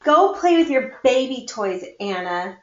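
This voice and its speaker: A woman's voice: a little high, sing-song, a little slow, echoey room, lo-fi sound. A woman voicing a grumpy, bossy young boy annoyed with his little sister in a picture book story.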